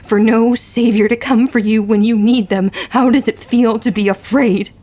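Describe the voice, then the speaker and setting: A woman acting a wounded, vengeful young witch who narrates her own story in an audio drama, with deliberate, emotive delivery. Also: a little high, fairly even intonation, dark tone, natural voice. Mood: cold fury.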